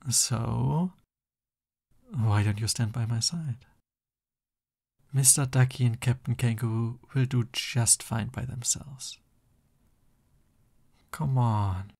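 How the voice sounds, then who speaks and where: A flustered, doting supervillain boyfriend, played by a man for an ASMR roleplay. He speaks slowly and tenderly in a soft, breathy voice with a dark, mellow tone. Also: mid-range voice. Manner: slow, tender, bashful.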